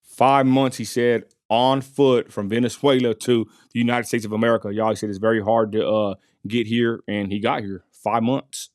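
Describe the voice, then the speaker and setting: A man's voice: mid-range voice, fairly even intonation, measured pace, dry recording, clean studio sound. A man from West Texas narrating his street interview in a casual voice-over, full of fillers and asides to viewers. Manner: folksy, addresses viewers as y'all, many fillers.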